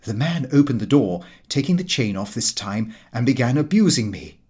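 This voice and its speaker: A man's voice: mid-range voice, big pitch swings, moderate pace, a little mellow, slightly rough. A man narrating a comic diary novel as a scripted audiobook reading.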